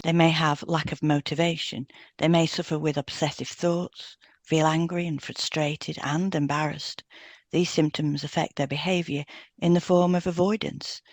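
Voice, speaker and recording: A woman co-hosting a health podcast, reading scripted material in a measured, explanatory way and carefully sounding out technical terms. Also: low voice, level tone, vocal fry, dry recording. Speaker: podcast co-host and hypnotherapist. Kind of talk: scripted educational narration.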